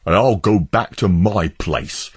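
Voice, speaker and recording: A man voicing a stubborn, scornful male neighbour who blusters and mocks, in a husky voice. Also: deep voice, takes it slowly, full character voice.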